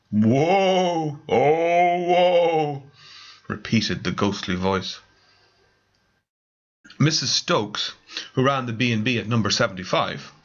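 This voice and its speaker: A man reading scripted comic narration with formal, theatrical deadpan. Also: mid-range voice, breathy edge, dull audio. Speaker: author reading own story.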